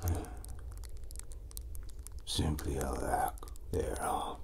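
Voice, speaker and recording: Casting: enigmatic old Norse rune caster played by a man, put-on Nordic accent, breathy whisper, extremely slow and cryptic. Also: very deep voice, steady tone, heavily acted. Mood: solemn, guiding. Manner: cryptic, solemn, halting delivery with long pauses. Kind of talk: whispered ASMR roleplay, fortune telling.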